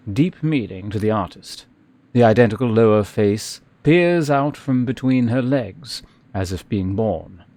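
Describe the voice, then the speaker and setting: A man giving casual game commentary as a streamer, musing in an unhurried, hesitant way and reading game text aloud. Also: mid-range voice, a little mellow. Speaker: live streamer playing a video game.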